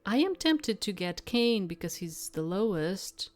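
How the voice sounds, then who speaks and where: A woman's voice: medium pitch, sing-song, measured pace. A woman doing casual gameplay commentary and reading story text aloud, with a hesitant, thinking-aloud manner. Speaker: let's player reading a visual novel aloud. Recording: background music under the voice. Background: game music.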